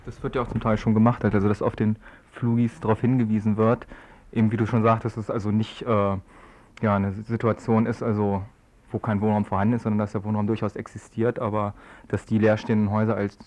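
A young man, a student activist, answering interview questions in a halting, wordy, colloquial way. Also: fairly low pitch, level tone, darkish tone. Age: young adult. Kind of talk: informal spoken interview answers. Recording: dull lo-fi radio recording.

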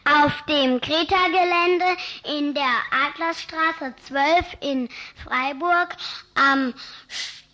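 A woman acts as an announcer with a high voice. She gives event details for a radio promo very slowly, in small halting pieces. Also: pushed and bright, exaggerated voice, rough recording. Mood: deliberate.

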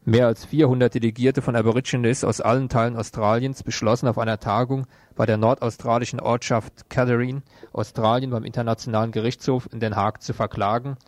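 A man's voice: medium pitch, a little mellow, no room sound. A man presenting radio news, reading written reports in an informal programme. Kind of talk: read news bulletin with brief informal asides.

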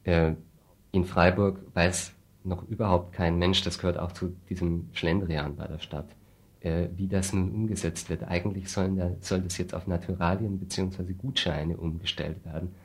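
A man with a very low, husky voice speaks as an interview guest. His pitch barely moves as he explains his points in a hesitant, critical, matter-of-fact way. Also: steady tone, moderate pace. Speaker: interview guest, refugee aid activist. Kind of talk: radio interview, earnest explaining.

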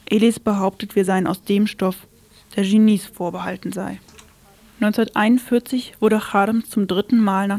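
A woman reading a scripted radio feature in a measured, sober manner. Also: medium pitch, a little mellow. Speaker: radio presenter. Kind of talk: scripted narration.

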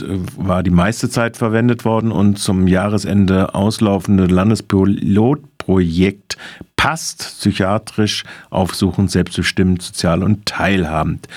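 A man reporting on a council committee meeting in a halting delivery, pausing mid-sentence. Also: sits low, a little mellow, no room sound, clean studio sound. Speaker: radio reporter.

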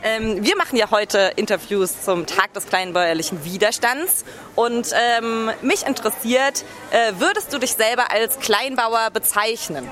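A woman's voice: a little high, unhurried, a bit bright. A woman asking questions as a street interviewer at an outdoor market. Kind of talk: vox pop interview questions.

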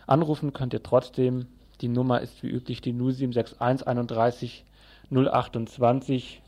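A man hosts a live news programme on community radio. His voice is medium in pitch, and he gives the rundown and announcements in a semi-scripted way. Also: dark tone.

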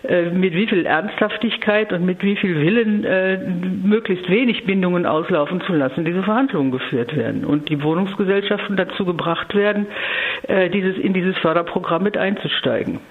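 A woman giving a spontaneous political interview as a local council leader, speaking in a measured, emphatic way with careful wording. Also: lowish voice. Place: phone call to a radio programme. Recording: narrow-band phone line.

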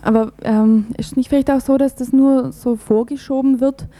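A woman's voice: sits high, steady tone, moderate pace, mellow, no room sound. A woman radio interviewer putting questions in a conversational way.

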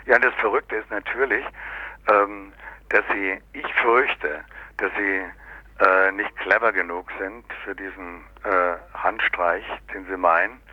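A low-voiced man gives a sardonic, unscripted political commentary. He speaks colloquially and haltingly, searching for words. The sound is dry and dull, like an old local radio recording. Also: fairly even intonation, no echo. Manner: sardonic, colloquial, often tags statements with "ne?". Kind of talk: unscripted critical political discussion.

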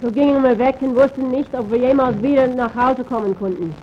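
Hesitant woman interpreter, slightly high voice. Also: a little fast. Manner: hesitant, searching for words. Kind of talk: consecutive live interpreting. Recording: dull, faintly noisy archive tape.

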